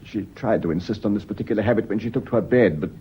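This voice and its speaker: An actor playing an indignant, evasive British gentleman under suspicion in a radio mystery play, genteel and hesitant in his speech. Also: on the low side, racing, lightly acted. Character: indignant, evasive gentleman husband suspected of poisoning his wife. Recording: dull radio drama recording, audible noise.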